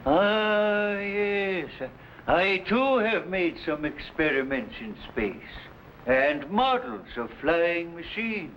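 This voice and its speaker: A man playing a despondent great inventor-artist in halting, theatrical 1950s drama style. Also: on the high side, muffled recording, slight background noise, full character voice. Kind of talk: 1950s television drama acting.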